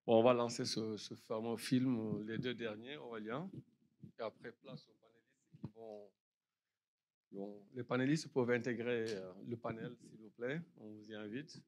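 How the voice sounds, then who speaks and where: A man moderating an event in a library auditorium, making loose, joking, halting announcements. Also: mid-range voice, fairly even intonation, a little mellow.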